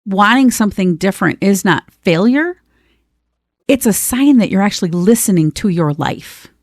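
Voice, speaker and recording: A woman's voice: mid-range voice, animated, moderate pace, darkish tone, no room sound, studio quality. A woman co-hosting a conversational personal-growth podcast, speaking in a reassuring, emphatic way and drawing out key words. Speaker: podcast co-host.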